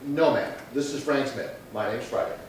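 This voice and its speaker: A man playing a terse, deadpan police detective sergeant in a 1950s-style radio crime drama, clipped and businesslike. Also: mid-range voice, moderate pace, natural voice. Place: live theatre performance space. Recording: very echoey hall, distant, faint noise.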